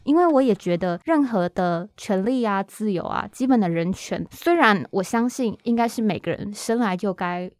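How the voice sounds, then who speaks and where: A woman's voice: medium pitch, animated, moderate pace, few pauses, darkish tone, no echo, clean studio sound. A woman with a Taiwanese accent hosting a conversational interview in a reflective, earnest way. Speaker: podcast host.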